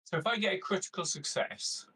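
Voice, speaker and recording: Bright, forceful-voiced man at a game. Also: sits high, fairly quick, rough recording. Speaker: tabletop game player. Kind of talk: casual chat.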